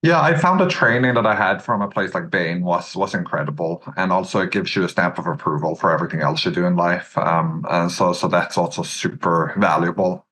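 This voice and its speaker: A Norwegian man in his thirties with a Norwegian accent, a podcast guest telling his career story in a measured way, with many "uh"s and "um"s. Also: sits low, fairly bright tone, slight background noise. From Norway. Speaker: podcast guest, search fund entrepreneur. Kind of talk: relaxed interview answers.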